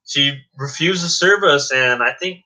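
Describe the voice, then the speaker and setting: A man's voice: on the high side, unhurried, bright, forceful tone. A man guest-hosting a casual podcast, earnest and insistent, repeating his points. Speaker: guest host.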